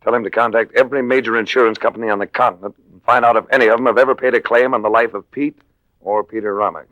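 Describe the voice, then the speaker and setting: A man's voice: mid-range voice, steady tone, racing, a bit bright, no room sound, lo-fi sound, lightly acted. A man playing a cool, wry American adventurer-investigator in 1940s radio drama style, speaking in a clipped, dry, hardboiled way. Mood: brisk, commanding. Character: cool, wry American male adventurer-investigator, narrating his own case. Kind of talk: 1940s radio drama acting with first-person narration.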